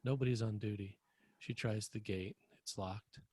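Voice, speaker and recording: A man reading screenplay stage directions as scripted narration, with a husky, gravelly voice. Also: lowish voice, steady tone, normal speed, a little mellow, no echo.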